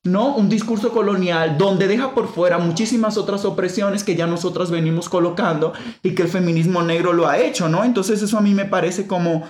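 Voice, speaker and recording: A woman from the Dominican Republic with a Dominican accent, hosting a reflective discussion. She thinks out loud, restarts often and leans hard on key words. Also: fairly low pitch, fairly quick, few pauses, echoey room. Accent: Dominican Spanish. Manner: thinks aloud with frequent restarts and emphatic stress. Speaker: podcast host.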